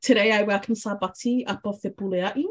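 A woman hosts a relaxed podcast interview. Her talk is casual and friendly, and she laughs easily with her guest. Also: mid-range voice, fairly quick. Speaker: podcast host.

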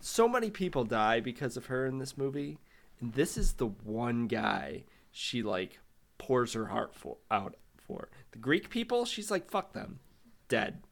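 A man's voice: mid-range voice, measured pace, gravelly. A man tearing into a bad film in loose, profane banter, his voice jumping up and down as he rants. He sounds fed up and incredulous, swearing freely as he makes his case. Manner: profane, exasperated, animated ranting. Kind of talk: casual movie review chat.

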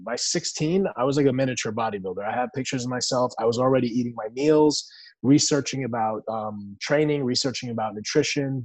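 A man's voice: medium pitch, a little mellow, dry recording, rough recording. A man in his forties, born in Iran and raised in the U.S., telling his life story as an interview guest in an earnest, reflective way. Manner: earnest, reflective, many restarts. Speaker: guest, bodybuilder.

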